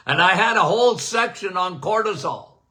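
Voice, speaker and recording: An older male doctor talks in a high, bright, ringing voice. He takes his time, pausing between phrases and checking in with "okay?" as he gives a casual, emphatic health chat. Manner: animated, emphatic, repeats "okay?"; says he has a loud voice. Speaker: podcast host, doctor.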